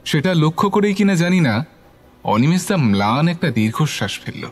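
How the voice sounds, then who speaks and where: A man's voice: a little high, big pitch swings, normal speed, soft background music. A man giving scripted, dramatic narration of a horror story.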